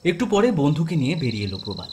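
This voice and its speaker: A man's voice: fairly high pitch, sing-song, rapid-fire, a little mellow, slight background noise. A man narrating a scripted horror audio story in a dramatic style. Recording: music bed behind.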